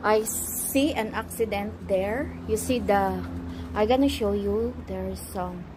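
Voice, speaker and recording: Slow-talking woman filming on her phone. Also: mid-range voice. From Philippines. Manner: unhurried, pointing things out to viewers. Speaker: vlogger. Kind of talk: casual phone vlog. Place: high-rise apartment, indoors and on a snowy balcony. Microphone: phone at arm's length. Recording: phone recording with clear outdoor traffic noise.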